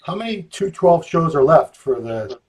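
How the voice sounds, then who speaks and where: Upbeat male host, joking and conversational, slightly higher pitch. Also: big pitch swings, normal speed. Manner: joking, chatty, playful. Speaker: interview host. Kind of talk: casual interview chat.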